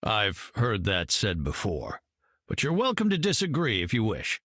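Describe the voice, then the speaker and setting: Self-assured game genius, low pitch, expressive, precise, mildly condescending. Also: male voice, moderate pace, no echo, studio quality, full character voice. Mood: superior. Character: brainy, self-assured scientist hero, patiently superior. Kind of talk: video game voice acting.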